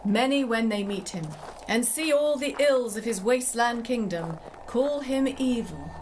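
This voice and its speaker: A woman playing a wise, cryptic sorceress mentor in a theatrical drama, measured, poetic and instructive. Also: fairly high pitch, slight background noise, natural voice, poor audio. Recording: music under the voice.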